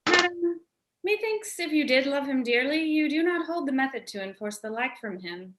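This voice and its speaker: A woman's voice: high voice, expressive, normal speed, very noisy. A woman playing a pert female royal attendant in a Shakespearean radio play, recorded in an echoey room. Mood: cautioning. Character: Charmian, lively female attendant to a queen.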